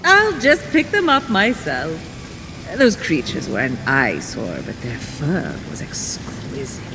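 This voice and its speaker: A woman's voice: low-pitched, huge pitch swings, takes it slowly, in a large hall, background noise, exaggerated voice. A woman playing a vain, pampered goddess of love, breezy, dramatic and self-absorbed, in a comic video game. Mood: breezy, haughty.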